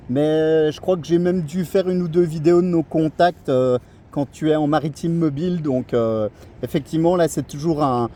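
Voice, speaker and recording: A man's voice: a little high, mellow, slight background noise. A middle-aged man from France chatting casually as a radio operator, hesitating and searching for his words.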